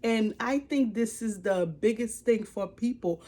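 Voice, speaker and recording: A woman in her forties with a Haitian accent, giving an earnest, expressive personal testimony to camera. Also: fairly high pitch, measured pace. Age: 40s. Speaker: life coach and ministry leader. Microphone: speaking to a camera.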